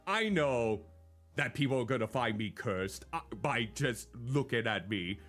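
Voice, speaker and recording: A man co-hosting a live stream in casual chat, with a naturally loud voice and a rambling, self-deprecating way of talking. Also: medium pitch, expressive, a little slow, faint music behind.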